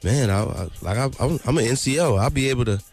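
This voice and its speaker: A man's voice: mid-range voice, quick pace, darkish tone, soft background music. A man chatting casually as a studio guest, informal and often repeating his words as he goes. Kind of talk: casual chat.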